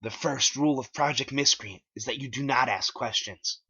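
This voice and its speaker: Man putting on a zealous, forceful soldierly follower. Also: medium pitch, normal speed, breathy edge, dry recording, dull audio, pitched above the performer's own voice, exaggerated voice. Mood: officious.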